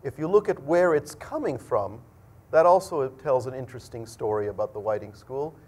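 A man's voice: medium pitch, animated, normal speed, a little mellow. A middle-aged man speaking as a school dean, giving an explanatory, conversational presentation to students. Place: indoor lecture room at a podium.

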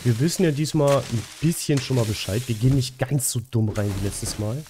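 A man's voice: mid-range voice, brisk, noisy background. A young man with a cold, hosting a co-op gameplay session in casual chat, self-mocking and full of filler sounds, on a headset at home. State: has a cold. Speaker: gaming host. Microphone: headset at desk.

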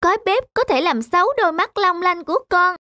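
Very high-pitched, vain little girl. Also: lively intonation, a little fast, sharp tone, no room sound, clean studio sound, heavily acted. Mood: vain, coy. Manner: childlike, self-admiring, pleading. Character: vain, pampered young girl with long hair. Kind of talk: cartoon fairy tale acting.

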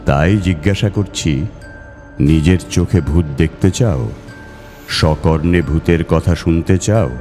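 A man's voice: low voice, slow, warm tone. A man voicing a tall-tale teller's letter in a story reading, declamatory and persuasive. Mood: challenging. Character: tall-tale-telling man writing a challenging, inviting letter. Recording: long reverb over music.

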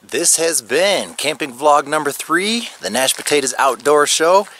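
A man in his thirties talking casually to a handheld camera outdoors at a snowy campground, relaxed and chatty with fillers. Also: on the high side, a little slow. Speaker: vlogger. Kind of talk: casual vlog talk to camera. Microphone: handheld camera at arm's length.

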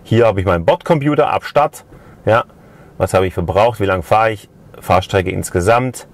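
Chatty Swabian man, deep voice. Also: normal speed. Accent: Swabian German. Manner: chatty, explains step by step with tag questions. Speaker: car reviewer. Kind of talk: casual car review walkthrough. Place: parked car interior.

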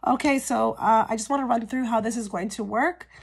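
A woman giving a casual pick-a-card tarot reading straight to her viewers, her voice a little hoarse and cracky from a cold, often checking in with "okay?". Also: on the high side, fairly quick. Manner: chatty, frequent "okay?" check-ins with viewers. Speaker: tarot reader.

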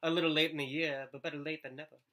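A man voicing a bustling, chirpy woman teacher of sixth graders, brisk and cheery, in a story reading. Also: a little high, level tone, sharp tone. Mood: excited.

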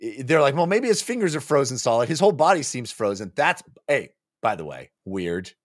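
A man in his forties gives a casual, jokey recap of a story. His delivery is lively and swoops around as he puts on characters' lines. The sound is dry and close, like a home studio microphone. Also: mid-range voice, fairly quick, dry recording. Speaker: book club podcast host. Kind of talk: casual recap chat about a novel. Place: small indoor home studio. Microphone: close studio microphone on a boom arm.

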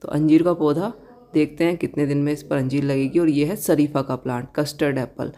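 A woman giving a casual tour of her balcony garden outdoors, gently pointing out plants and giving tips to viewers. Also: deep voice, steady tone, brisk. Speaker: garden vlogger.

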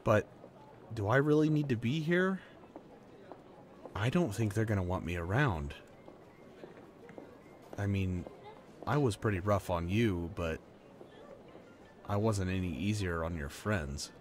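A man's voice: on the low side, expressive, takes it slowly, pauses often, a little hiss or hum. A young man acting a laid-back, playful working-class boyfriend in a conversational roleplay audio drama, informal and earnest. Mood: uneasy, subdued. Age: young adult.

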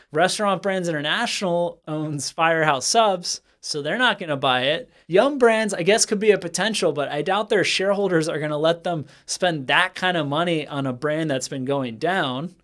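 A man in his thirties gives a skeptical business commentary straight to camera, talking with his hands, in a small bright room with a desk microphone. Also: fairly high pitch. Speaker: franchise consultant and channel host. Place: small bright indoor room with bookshelf and plant. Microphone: desk microphone in front of him.

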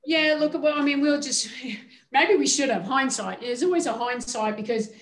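A woman's voice: sits high, normal speed. A middle-aged woman head coach answering questions at a postgame press conference, speaking candidly with plenty of fillers and restarts. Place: indoor media room before a team backdrop. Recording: echoey room sound.

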